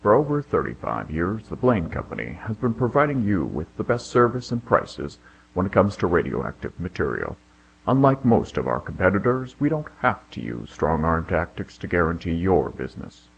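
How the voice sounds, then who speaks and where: A man playing a deadpan corporate spokesman, delivering a measured sales pitch in a mock radio commercial. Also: vocal fry, breathy edge, dull audio, heavily acted. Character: smooth-talking male corporate spokesman in parody sponsor advert.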